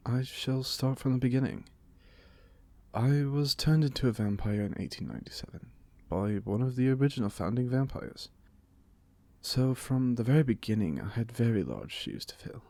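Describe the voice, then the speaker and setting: Man playing a gravelly, theatrical British vampire. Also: on the low side, darkish tone, no room sound. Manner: theatrical, genteel, jokey, conversational. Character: playful, chatty 127-year-old male vampire being interviewed. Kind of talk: ASMR audio roleplay.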